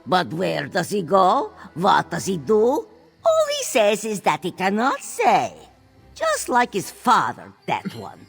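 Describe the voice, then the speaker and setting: A woman voicing an aging female cat-folk farm owner in a fantasy video game, a proud, exasperated mother with a husky voice and formal third-person phrasing. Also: medium pitch, wildly swooping pitch, slow pace, faint music behind, heavily acted.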